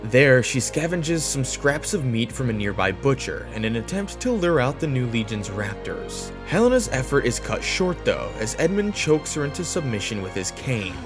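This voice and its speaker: A man's voice: mid-range voice, big pitch swings, normal speed, hardly any pauses, music in the background. A man narrating a scripted episode recap as a video essayist, with wry asides.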